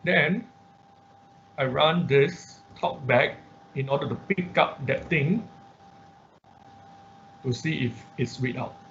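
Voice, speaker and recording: A man instructing an online class through a live software demonstration, talking haltingly as he thinks aloud. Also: medium pitch. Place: indoors, online class at a computer. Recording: muffled online class audio with background noise.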